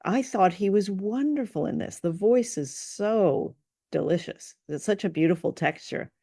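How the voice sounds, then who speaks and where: A woman's voice: mid-range voice, expressive, measured pace, creaky, warm tone, husky, no room sound, clean studio sound. A woman co-hosting a show, chatting casually and appreciatively about stories, with some hesitant phrasing. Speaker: co-host of an old-time radio drama show.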